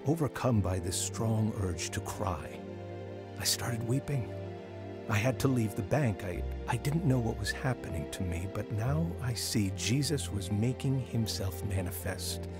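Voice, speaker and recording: A man playing an earnest, repentant middle-aged father narrating his life story in an American radio drama. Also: on the low side. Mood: reflective. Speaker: lead actor narrating his testimony. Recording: music bed under the voice.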